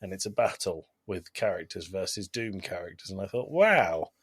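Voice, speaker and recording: A man with a fairly low voice co-hosts a podcast in casual chat. His delivery is wry and conversational, and the sound is close and dry. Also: lively intonation, normal speed, fairly bright tone, husky, no room sound. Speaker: podcast co-host. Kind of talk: casual panel chat, film review.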